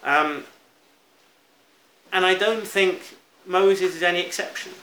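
A man's voice: high-pitched, takes it slowly, pushed and bright. A British man preaching a sermon in an earnest, reflective manner, stressing key words as he goes. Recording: roomy echo, faint background noise.

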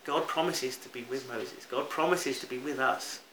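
A man's voice: mid-range voice, normal speed, pushed and bright. A British man preaching a sermon in an earnest, reflective manner, stressing key words as he goes. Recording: roomy echo, faint background noise.

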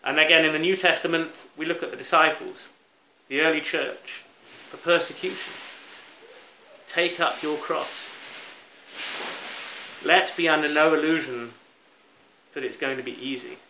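A man's voice: on the high side, fairly even intonation, unhurried, bright, forceful tone. A British man preaching a sermon in an earnest, reflective manner, stressing key words as he goes. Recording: roomy echo, faint background noise.

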